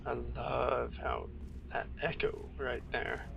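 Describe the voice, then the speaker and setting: Very creaky man commentating over heavy noise. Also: mid-range voice, fairly even intonation, slow. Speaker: gameplay commentator, screenwriter. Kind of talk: casual video game playthrough commentary. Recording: very noisy home recording, game sound behind.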